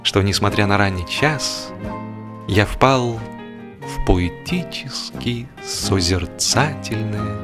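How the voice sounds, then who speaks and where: A man reading a humorous novel as a scripted audiobook, telling it in an expressive, literary way. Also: on the low side, slow, over music. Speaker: audiobook narrator.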